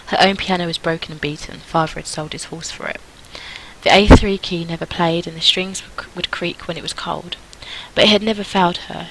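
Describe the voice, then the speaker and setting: A woman's voice: on the low side, a bit bright, slight background noise, low-quality recording. A young adult woman reading a visual novel aloud, with casual asides as host of a gaming video.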